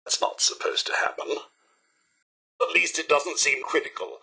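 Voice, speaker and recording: An actor voicing a fussy, polite British robot butler in a video game, with prim, formal, theatrical and deferential delivery. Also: male voice, a little high, fairly even intonation, moderate pace, vocal fry, bright, forceful tone, breathy edge, full character voice, low-quality recording. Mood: dismayed. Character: fussy, polite male robot butler, exasperated yet devoted. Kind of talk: video game character voice acting.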